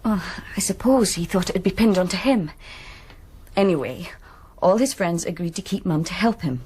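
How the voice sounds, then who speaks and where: An actress playing a troubled, reluctant young student in a radio drama, confiding hesitantly in a husky English voice. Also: female voice, lowish voice, expressive, normal speed, darkish tone, slight background noise, lightly acted. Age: young adult.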